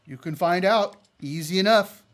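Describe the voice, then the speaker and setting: Male actor as radio western gunman, lighter higher register, resolute and weary of it all. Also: moderate pace, a bit bright. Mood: cold, determined. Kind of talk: western radio drama acting.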